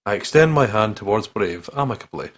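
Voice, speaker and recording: A man's voice: fairly low pitch, lively intonation, a little fast. A Scottish man co-hosting a game playthrough, reading text aloud and bantering with irreverent swearing. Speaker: gaming channel co-host.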